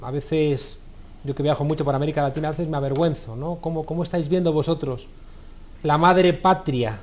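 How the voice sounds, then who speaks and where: A man's voice: on the high side, steady tone, brisk, darkish tone, slight background noise. A man hosting a studio debate, asking pointed questions with an ironic edge. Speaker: host.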